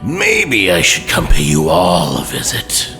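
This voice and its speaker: A man playing a savage, sadistic mutant villain in an audio drama, taunting with a snarling, menacing rasp. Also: low-pitched, huge pitch swings, pushed and bright, heavily acted. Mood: smug menace. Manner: menacing, mocking, drawn-out. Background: dramatic music.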